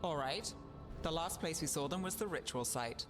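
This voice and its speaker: A woman playing a composed, stubborn investigator in a fantasy video game, dry and measured. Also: deep voice, over music, natural voice. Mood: cautious, measured.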